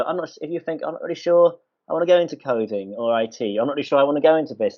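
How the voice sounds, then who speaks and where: A man hosting an online college event, talking hesitantly with many pauses. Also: mid-range voice. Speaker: college host. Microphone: video call. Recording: muffled video call audio.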